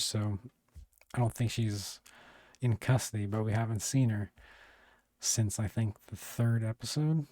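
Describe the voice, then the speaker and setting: Man, hoarse, clearing throat, rambling review, mellow tone. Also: fairly low pitch, no echo. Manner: rambling, many fillers and restarts. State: losing his voice, clearing throat. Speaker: video reviewer. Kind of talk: casual video review.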